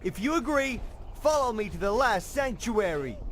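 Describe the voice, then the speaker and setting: Haughty, high-voiced royal envoy. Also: male voice, big pitch swings, normal speed, slight background noise. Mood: grudging, urgent. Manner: curt, disdainful, formal. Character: haughty, disapproving envoy of a fantasy queen. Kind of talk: video game character acting.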